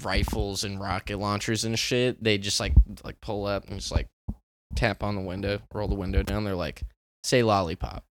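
A man co-hosting a comedy podcast, telling crude hypothetical scenarios in a halting, casual way. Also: lowish voice, flat delivery, a bit bright, slight background noise. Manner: halting, repetitive storytelling peppered with profanity. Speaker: podcast co-host.

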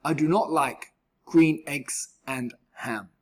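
A man reading a rhyming children's book aloud in an expressive, theatrical way, with a husky voice. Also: a little high, takes it slowly. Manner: animated, theatrical. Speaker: storybook reader.

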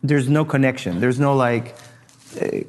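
A chatty man from Argentina hosting a casual interview. He agrees readily and restarts his sentences often, in an ordinary mid-pitched voice. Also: a little slow, a little mellow.

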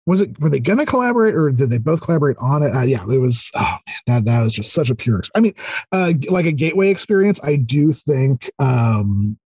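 A man with a slightly husky voice at a middle pitch, chatting casually as a guest. He thinks aloud, hesitating and circling back, yet sounds keen. The sound is dry and close. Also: very animated, brisk, a little mellow, dry recording. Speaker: podcast guest. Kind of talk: casual interview chat about video games.

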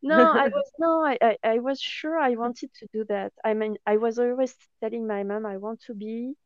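A woman's voice: a little high, moderate pace, no echo. A young woman with a French accent, chatting as an interview guest about her work, hesitant and full of fillers. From France. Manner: hesitant, many fillers and restarts. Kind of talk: casual interview chat. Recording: dull lo-fi sound.

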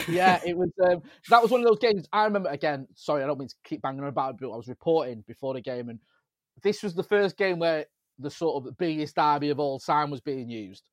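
A man with a Manchester accent chatting on a football podcast and telling lively stories about his days as a local radio reporter. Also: on the high side, brisk, dry recording. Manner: animated storyteller, jumps into mimicry of fans. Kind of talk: casual football podcast chat.

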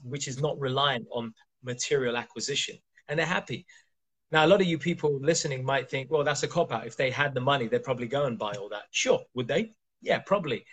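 A man's voice: medium pitch, a bit bright, slightly rough. A man from Kenya, a coach hosting a podcast, who talks emphatically and keeps asking rhetorical questions. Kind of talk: casual interview chat.

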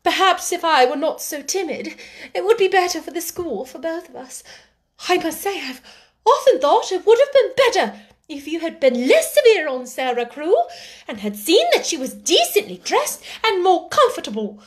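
A woman's voice: very high-pitched, big pitch swings, moderate pace, pitched above the performer's own voice. A woman voicing a timid middle-aged younger sister in a storybook, who speaks out in emphatic, breathless, hysterical distress. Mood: timid, nervous.

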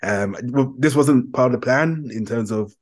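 A man's voice: mid-range voice, moderate pace. A man hosting a podcast interview, asking long, rambling questions with fillers. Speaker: podcast host.

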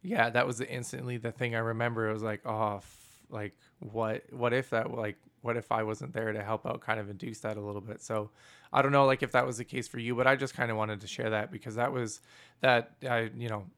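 A man hosting a casual interview, with a booming voice and a loud laugh, asking long, searching questions full of fillers. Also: fairly even intonation, a little fast. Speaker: podcast host.

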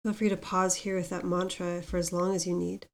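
A woman leads a guided meditation in a lowish voice with very little rise or fall. Her delivery is gentle and unhurried, with space left between the instructions. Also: little pitch movement, creaky. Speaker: meditation guide, podcast host. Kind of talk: guided meditation with mantra chanting.

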